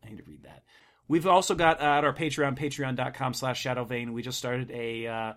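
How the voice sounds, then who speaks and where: A man's voice: medium pitch, brisk, fairly bright tone. A young man hosting a casual podcast chat, rambling with fillers and laughing often. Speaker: podcast host.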